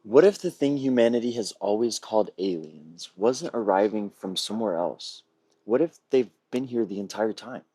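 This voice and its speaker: Low-voiced man delivering a measured, slightly husky pitch. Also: mellow, no room sound. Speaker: filmmaker pitching a feature film. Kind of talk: prepared pitch presentation, read narration. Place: video call, indoors.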